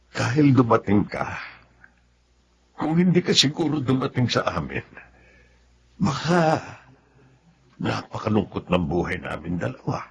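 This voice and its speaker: A man acting in a Tagalog radio drama as a devoted, sentimental father, speaking earnestly and from the heart in a husky, gravelly voice. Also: on the low side, a bit bright, a little hiss or hum, full character voice.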